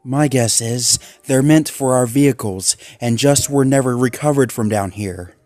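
A man's voice: mid-range voice, moderate pace, a little mellow, no room sound, soft background music, natural voice. A man voicing a determined young male Labrador dog who talks in an intense, resolute way.

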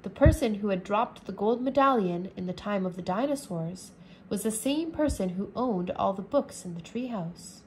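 A woman teacher reading a children's chapter book aloud, slowly and clearly, indoors at a table. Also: mid-range voice, animated, slight background noise, rough recording. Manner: slow, careful, clear reading. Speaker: English teacher.

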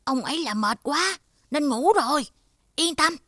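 A woman voicing a tiny, good-natured shoemaker's helper with a chirpy manner in a children's animated fairy tale. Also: high-pitched, a little slow, no echo, studio quality. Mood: reassuring.

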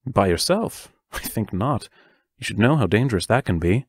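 A man's voice: lowish voice, expressive, normal speed, breathy edge, no echo. An actor playing a serious, protective male schoolteacher who speaks in careful, formal phrases in an audio drama. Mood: firm, concerned.

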